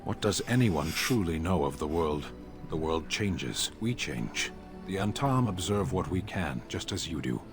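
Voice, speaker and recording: A very low-pitched male warrior from a fantasy game, blunt and stoic. He speaks in terse, solemn, literal statements, with music clearly playing behind him. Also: very animated, unhurried, few pauses, exaggerated voice. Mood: stoic, measured. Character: blunt, stoic Qunari warrior, large humanoid soldier. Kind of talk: video game character acting.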